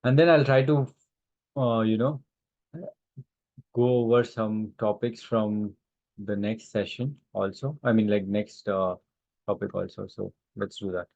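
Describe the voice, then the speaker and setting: A man teaching a live online software lesson informally, haltingly thinking aloud as he demonstrates. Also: lowish voice, vocal fry. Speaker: instructor. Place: online class call with screen sharing. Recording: roomy, dull lo-fi online-call audio.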